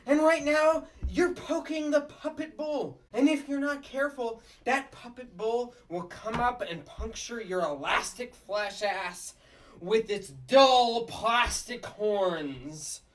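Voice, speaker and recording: A woman's voice: on the high side, slow pace, distant mic. A woman voicing a nervous, flustered male puppet district attorney in a comedic table read, sometimes stammering. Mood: blustering.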